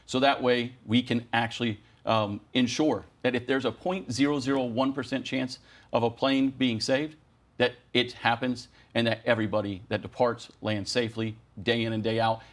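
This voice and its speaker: A man's voice: mid-range voice, steady tone, moderate pace. Earnest man advocating in an interview. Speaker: air traffic controllers' union president. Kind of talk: television news interview.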